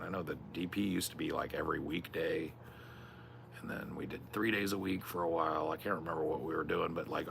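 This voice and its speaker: A man with a strained, raspy voice chatting casually on a stream, rambling and swearing a lot. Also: deep voice, a bit bright, a little hiss or hum. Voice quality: gravelly. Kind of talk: casual chat.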